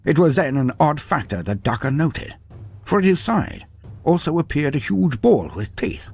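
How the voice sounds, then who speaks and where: A male storyteller with a husky voice and a lively, swooping delivery. He narrates a scripted tale theatrically and with mock grandeur, over music. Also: a little high, moderate pace.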